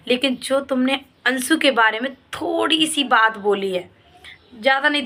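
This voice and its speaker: A woman's voice: on the high side, fairly bright tone. A woman vlogger giving opinion commentary straight to her viewers, in an emphatic, reproachful way that leans on repeated points.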